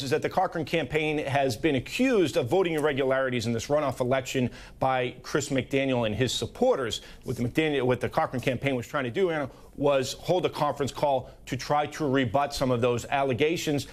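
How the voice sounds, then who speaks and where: Male political analyst explaining live on news. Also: medium pitch.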